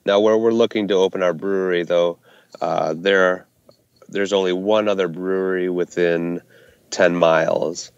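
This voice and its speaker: Low-voiced man, flat and smooth, halting with fillers, dry and slightly dull sound. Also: dry recording. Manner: hesitant, many fillers and restarts. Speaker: brewery co-founder, guest. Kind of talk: casual interview answers. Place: Ohio hometown brewery.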